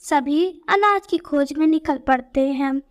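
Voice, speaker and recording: Casting: female storyteller for children's tales, high voice, unhurried scripted narration.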